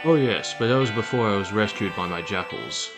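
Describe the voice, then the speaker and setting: A man's voice: fairly low pitch, brisk, music in the background, close to an everyday voice. A man playing a gloating, vicious animal billionaire villain in an audio drama, pompous and menacing.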